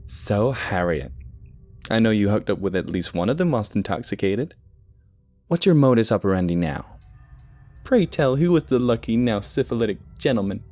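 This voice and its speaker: A man's voice: on the low side, sing-song, normal speed, a little mellow, faint music behind, pitched above the performer's own voice. A man voicing a snide young female student who mocks and sneers. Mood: mocking. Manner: sneering, theatrical.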